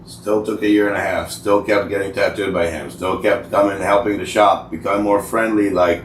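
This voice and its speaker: A man in his forties, from Israel, with a fairly low voice, recorded in a roomy space a little off the mic. He tells career stories in a loose, rambling, colloquial way, swearing freely and quoting conversations as he goes. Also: fairly even intonation, normal speed, distant mic. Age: 40s. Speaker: tattoo artist, podcast guest. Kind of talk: casual podcast chat, telling career stories. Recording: roomy echo, off-mic, faint background noise.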